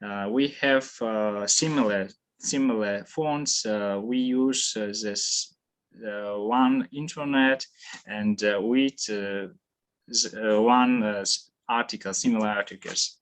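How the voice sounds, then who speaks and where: A young man from Tajikistan with a Tajik accent, answering questions at a student conference in halting English full of fillers and restarts. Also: fairly low pitch. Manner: halting, many fillers and restarts, searching for words. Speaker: student presenter.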